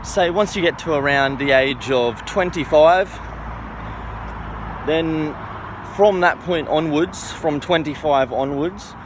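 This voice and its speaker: Man, about thirty, high voice, self-assured informal monologue, emphatic repetition, noisy outdoor recording with cars. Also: takes it slowly. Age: 29, turning thirty. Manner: emphatic, self-assured, repetitive, seeking agreement with "okay" and "right". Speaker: self-styled health and philosophy educator.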